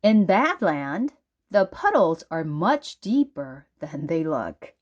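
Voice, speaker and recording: A woman narrates a children's book aloud at a very slow, deliberate pace. Her intonation swings in an animated way, with dramatic emphasis on key words. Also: deep voice, lo-fi sound. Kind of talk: storybook read-aloud narration.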